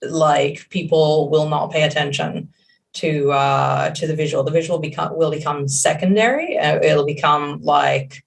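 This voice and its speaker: A young woman with a gravelly, creaky voice, a native Hebrew speaker talking through her answers in a loose, rambling way. She restarts often and fills gaps with "like" and "uh". The mic sits a little far from her. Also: low-pitched, fairly even intonation, unhurried. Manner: rambling, many fillers and restarts. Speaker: game creative director. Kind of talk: casual interview answers.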